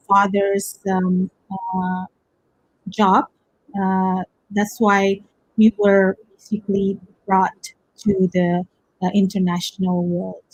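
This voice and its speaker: A woman from Indonesia, a company founder, answering interview questions calmly and haltingly, with many pauses and fillers. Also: medium pitch, low-quality recording.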